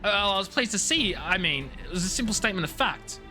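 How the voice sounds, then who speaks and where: A man chatting casually as a live game streamer, in an animated, expressive way with emphatic, drawn-out words. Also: high voice. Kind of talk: casual game stream chat, reading story dialogue and viewer messages aloud. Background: game music.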